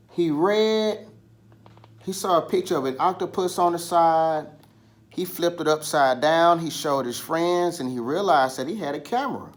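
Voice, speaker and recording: A man telling a children's story in an animated style, with dramatic pauses and drawn-out, emphatic words. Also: fairly high pitch. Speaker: storybook reader.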